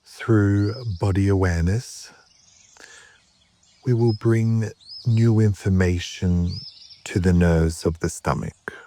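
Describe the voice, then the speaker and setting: A man guiding a meditation in a calm, measured way, with short phrases, long pauses and a soft, at times breathy voice. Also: low voice, slight background noise. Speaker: yoga nidra meditation guide. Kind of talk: slow guided meditation narration.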